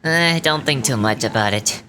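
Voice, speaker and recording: An actor playing a modest, genial elderly official with a gentle, unhurried way of speaking. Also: male voice, mid-range voice, lively intonation, lightly acted, low-quality recording. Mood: warm. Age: older. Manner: gentle, unhurried, self-effacing. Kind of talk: game voice acting. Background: game music.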